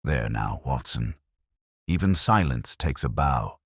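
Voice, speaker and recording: A man acting out a philosophical gentleman detective in an audiobook mystery. The voice is very low and husky, with expressive rises and falls. He reasons quietly and deliberately, in grave aphorisms. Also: dry recording, studio quality, exaggerated voice. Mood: calm, reasoning. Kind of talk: audiobook character dialogue.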